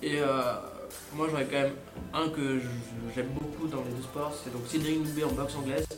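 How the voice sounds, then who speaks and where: Eager young co-host on student radio. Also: male voice, a little high, fairly even intonation, moderate pace. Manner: eager, rambling, full of fillers. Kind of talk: casual radio chat. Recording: echoey room, music behind.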